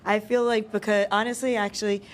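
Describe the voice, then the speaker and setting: A woman in her twenties chats as a podcast guest. Her voice sits at a medium pitch, and her talk is casual and conversational. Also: little pitch movement, brisk. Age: 20s.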